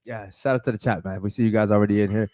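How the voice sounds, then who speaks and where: A man's voice: on the low side, fairly even intonation, very fast, a little mellow, no echo, studio quality. A man co-hosting a podcast, loosely calling out sponsors and asking the panel questions. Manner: loose, easygoing, lots of "man" and "uh". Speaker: podcast co-host. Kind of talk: casual podcast chat, reading shout-outs.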